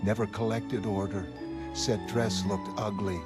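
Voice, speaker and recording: A man's voice: lowish voice, unhurried, natural voice. A man playing a grim, hostile middle-aged vigilante prisoner in a motion comic, talking in terse, menacing fragments. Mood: grim, detached. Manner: clipped, terse sentence fragments, flat menace. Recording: music behind the voice.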